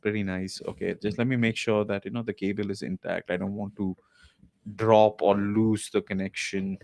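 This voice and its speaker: A man with a fairly low voice hosts a live podcast stream from a small home setup. He talks in a loose, rambling way, full of "uh"s and restarts. The desk mic sound is dry and close. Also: flat delivery, moderate pace, creaky, a little mellow, dry recording. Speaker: podcast host. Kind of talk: casual live-stream talk. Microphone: desk mic with podcast recorder.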